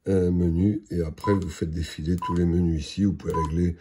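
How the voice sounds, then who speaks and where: A man giving an unscripted product demonstration in a hesitant, halting, matter-of-fact way. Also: sits low, steady tone, background noise. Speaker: product presenter.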